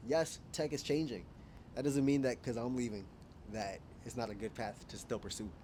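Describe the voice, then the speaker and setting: A man's voice: mid-range voice, normal speed, warm tone, slight background noise. A man in his twenties, from Kenya, talking casually and candidly to camera outdoors, musing and laughing at himself. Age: 20s. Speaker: vlogger. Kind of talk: casual reflective monologue. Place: outdoors at dusk.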